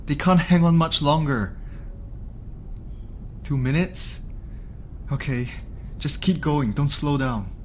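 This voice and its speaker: A man's voice: a little high, takes it slowly, pauses often. A man playing an urgent, caring field medic in an audio roleplay, pleading and commanding. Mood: tense, pressing. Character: urgent, caring male field medic fighting to save a patient. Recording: clearly noisy, ambience behind voice.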